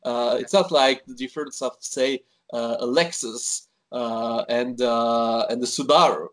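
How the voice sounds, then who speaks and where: Swiss-born man, guest in a casual interview, talky, halting with fillers. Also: mid-range voice, steady tone. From Switzerland. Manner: chatty, many fillers and restarts. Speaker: wine expert guest. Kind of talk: conversational interview.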